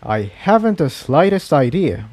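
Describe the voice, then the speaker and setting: A man's voice: fairly high pitch, a little slow, dry recording, pitched above the performer's own voice. A man voicing a level-headed male unicorn who reasons evenly as a peacemaker in a storybook reading. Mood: even, thoughtful. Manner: even, reasoning.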